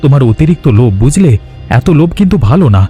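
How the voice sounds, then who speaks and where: A man's voice: a little high, animated, fast talker, mellow, faint music behind, natural voice. A man voicing an exasperated young husband. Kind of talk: cartoon voice acting.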